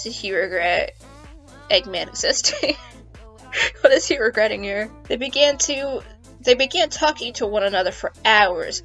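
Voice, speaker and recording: High-voiced woman reading fan fiction. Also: fairly bright tone, rough recording. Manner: amused, playful, giggly. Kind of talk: dramatic reading with casual, sweary asides. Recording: music behind the voice.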